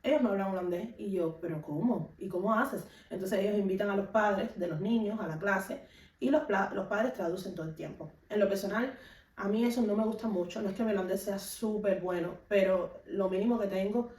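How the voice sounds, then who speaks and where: A woman's voice: mid-range voice, brisk, darkish tone. A woman with a Cuban accent talking casually to viewers as a vlogger, explaining things and giving practical advice in an instructive way. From Cuba. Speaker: vlogger and music teacher. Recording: echoey room, distant microphone.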